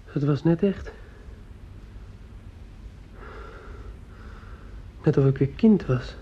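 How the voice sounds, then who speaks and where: A man's voice: on the high side, moderate pace, dark tone, a little hiss or hum, close to an everyday voice. An actor playing a feverish fifteen-year-old boy in a radio play, earnest and dreamy, hesitantly groping for words. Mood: frightened, confused. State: ill, sore throat. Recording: dull lo-fi old radio recording.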